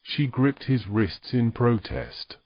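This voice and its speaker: A man's voice: medium pitch, slow pace, vocal fry, no echo, muffled recording. A man narrating a scripted fantasy story in a dramatic storytelling style, close on the microphone.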